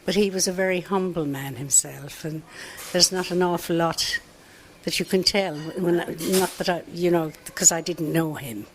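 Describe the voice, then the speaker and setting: Irish woman, low register, relaxed raconteur who runs a pub. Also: normal speed, a little hiss or hum. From Ireland. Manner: chatty, many "you know" asides. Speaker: pub owner. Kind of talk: relaxed storytelling interview.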